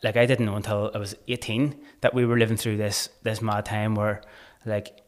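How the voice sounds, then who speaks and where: Podcast guest, thirties man, Belfast accent, studio desk mic, steady low delivery, searching restarts. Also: moderate pace. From Northern Ireland. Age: 30s. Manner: earnest, searching, frequent restarts. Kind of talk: casual conversation. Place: small bright studio room. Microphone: desk mic on boom arm.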